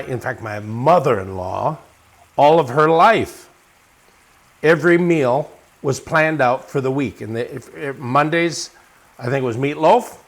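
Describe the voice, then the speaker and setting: A man from Wisconsin with an American accent, casually chatting as he hosts a cooking show in a folksy, encouraging way. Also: medium pitch. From Wisconsin, United States. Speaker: host of a lifestyle and cooking show. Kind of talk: casual cooking demonstration and chat.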